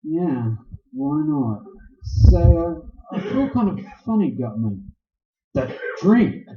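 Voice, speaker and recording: An actor playing a hard-boiled private detective in old radio noir style, with slangy, laconic, wry delivery. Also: male voice, on the high side, very animated, slow pace, a little hiss or hum. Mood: guarded. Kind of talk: 1940s radio noir narration and acting. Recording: muffled lo-fi sound in echoey room.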